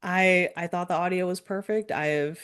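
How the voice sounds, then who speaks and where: A woman hosting a podcast interview. She chats casually and warmly praises the guest's work. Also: lowish voice, steady tone, normal speed, clear, resonant tone. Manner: friendly, enthusiastic, with light laughs.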